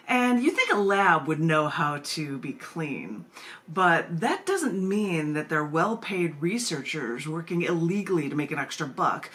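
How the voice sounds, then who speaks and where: A woman's voice: sits low, a bit bright. An American woman hosting a poetry open mic over a video call, chatty and given to rambling asides. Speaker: open mic host and poet. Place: at home, indoors. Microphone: video call microphone.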